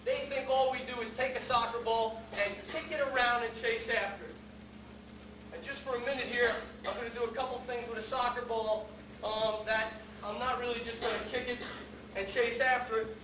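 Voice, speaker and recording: A man presents a live demonstration. He speaks emphatically and repeats himself for effect. The sound is echoey and far from the microphone, with clear noise behind him. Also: extremely high pitch, flat delivery, normal speed. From New York City, the Bronx. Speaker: soccer performer and presenter. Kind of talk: live public talk with demonstration. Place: large room at a press conference. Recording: echoey hall, distant, clearly noisy.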